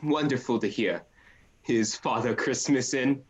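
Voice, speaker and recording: An actor playing a warm-hearted, earnest young gentleman, a cheerful nephew, with hearty declamation in a radio play. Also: medium pitch, measured pace, a little hiss or hum, natural voice. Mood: friendly.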